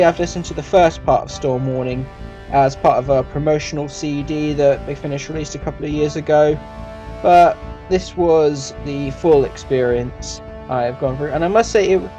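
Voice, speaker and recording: Male video reviewer, slightly higher-pitched voice, casual commentary, light guitar music underneath. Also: moderate pace, dark tone.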